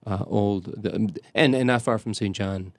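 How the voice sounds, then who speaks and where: An older priest reminisces in a rambling, unhurried way, stopping and circling back with plenty of ums and uhs. His voice sits low, and the sound is dry, with no room echo. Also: vocal fry. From Chicago area. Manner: rambling, halting, many restarts and fillers. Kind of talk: conversational storytelling.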